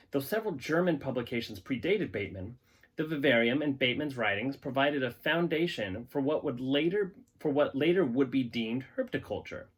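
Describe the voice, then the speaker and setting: A man hosting a hobby channel, talking to viewers and reading aloud from written material. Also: mid-range voice. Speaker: host of a reptile-keeping channel. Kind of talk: casual talk to viewers and reading a scientific paper aloud.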